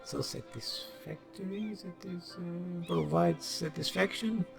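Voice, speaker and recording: A man's voice: sits high, measured pace, vocal fry. A young man with a Portuguese accent, casually thinking aloud and rambling while he plays a city-building game into a desk microphone. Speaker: gameplay video creator. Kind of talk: casual gameplay commentary. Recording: loud background music.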